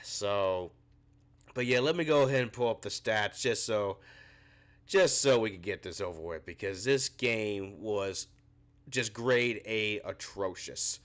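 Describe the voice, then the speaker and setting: A man's voice: lowish voice, unhurried, a bit bright. A young man with a cold hosts a postgame reaction show, his voice a bit scratchy. He rants in frustration and his voice climbs when he gets worked up. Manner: frustrated ranting, rising when angry. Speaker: podcast host.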